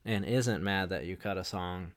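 Smooth low-voiced male host. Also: moderate pace. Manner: relaxed, hesitant, with "uh" and "like" fillers. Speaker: podcast host. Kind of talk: casual interview chat.

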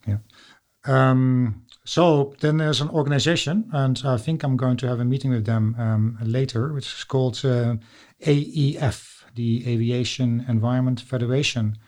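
A man's voice: mid-range voice, steady tone, moderate pace, a little mellow. A man from the Netherlands with a Dutch accent, hosting a podcast interview and talking hesitantly with many fillers. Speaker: podcast host.

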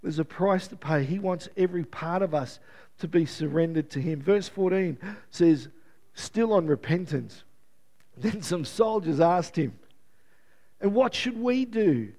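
A man's voice: on the high side, expressive, measured pace, a little mellow. A man preaching a sermon in an earnest, conversational way, his voice worn and strained as he notes he is losing it, with frequent restarts and light chuckles.

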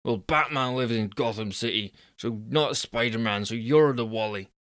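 A man's voice: mid-range voice, moderate pace, a bit bright, dry recording, studio quality, close to an everyday voice. A man voicing a self-important, petulant small-time vigilante in a comic story, whiny and pompous.

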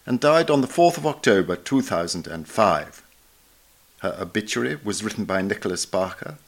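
A man reading a scripted introduction as the presenter of a podcast. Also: medium pitch.

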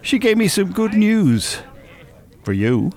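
A well-spoken older gentleman from a radio play. His pitch moves in a lively way as he talks, with formal, wordy phrasing and a dry, teasing manner. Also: slow, full character voice. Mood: wry. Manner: formal, wordy, drily mocking. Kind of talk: radio drama dialogue.

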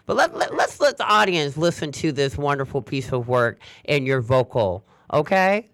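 A man's voice: on the high side, very animated, moderate pace, a bit bright, a little hiss or hum. A man hosting a radio interview, upbeat and chatty, often stumbling and restarting mid-sentence. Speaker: radio host.

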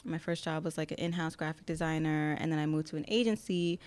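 A young woman from Connecticut, a podcast guest chatting casually, relaxed and laughing often. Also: deep voice, fast talker. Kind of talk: casual interview chat.

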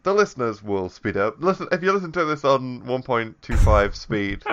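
A podcast co-host chatting loosely, halting and restarting with little chuckles. His middle-pitched voice comes through a muffled, lo-fi remote line. The talk is playful and unscripted. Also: expressive, fairly bright tone, clear, resonant tone. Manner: halting, restarts a lot, laughs at own jokes. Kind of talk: casual comedic chat. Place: remote call across the Atlantic.

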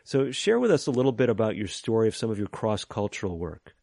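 Low-voiced male physician host. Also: big pitch swings, fast talker, gravelly, warm tone, no echo, studio quality. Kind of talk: interview questions.

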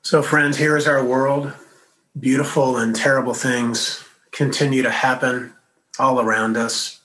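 Bright-voiced man preaching gently. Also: mid-range voice, flat delivery, measured pace, rough recording. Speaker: minister. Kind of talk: sermon.

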